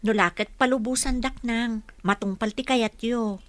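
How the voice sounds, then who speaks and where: A woman's voice: on the high side, normal speed, no room sound, natural voice. An actress playing an eager young working woman from the provinces who is deferential to her boss, in a radio drama. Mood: pleading.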